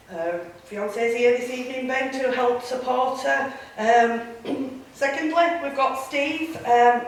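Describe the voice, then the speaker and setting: A woman's voice: medium pitch, slight background noise. A woman with a Yorkshire accent hosting an event and introducing the speakers in a chatty way, with plenty of fillers. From Yorkshire, England. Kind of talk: speech to an audience. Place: large echoey room. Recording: very echoey room, voice from far off.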